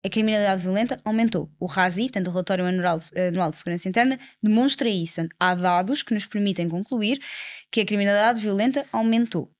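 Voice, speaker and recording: A woman's voice: mid-range voice, quick pace, no room sound. A young woman in her twenties with a European Portuguese accent, a politician guest on a casual podcast who explains her views at length, earnestly and with many fillers. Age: 20s.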